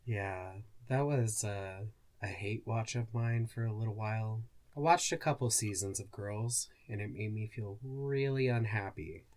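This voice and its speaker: An androgynous voice of medium pitch in a relaxed podcast conversation. The speaker talks casually, offering reactions and personal opinions in everyday language. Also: a little slow, hardly any pauses. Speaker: podcast co-host or guest.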